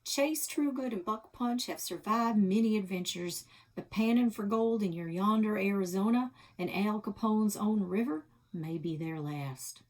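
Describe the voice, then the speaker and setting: A woman reading a children's novel aloud as a storytelling host, with expressive delivery. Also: mid-range voice, measured pace. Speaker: library read-aloud host.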